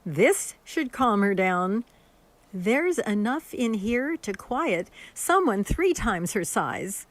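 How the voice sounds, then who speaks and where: A woman playing a brisk, authoritative asylum nurse in an audio horror drama. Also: mid-range voice, sing-song, noisy background. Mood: calm authority.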